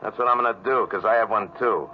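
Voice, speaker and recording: An actor playing a tough, jumpy, mocking escaped convict in a vintage radio crime drama, talking in sneering slang. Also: male voice, lowish voice, fast, close to an everyday voice. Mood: menacing. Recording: muffled, noisy old radio recording.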